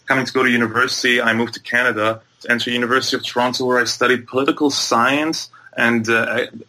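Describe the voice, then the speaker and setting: Male interview guest from Egypt, bright forceful voice, average pitch, earnest and explanatory. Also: rough recording. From Egypt and Bahrain. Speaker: guest, sports journalist and MMA commentator. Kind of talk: conversational interview answers.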